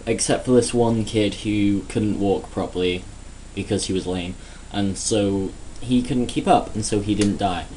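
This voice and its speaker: A man's voice: fairly low pitch, fairly even intonation, unhurried, dark tone, a little hiss or hum, poor audio. A man giving a sarcastic, crude, rambling comedic rant in a casual vlog. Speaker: vlogger.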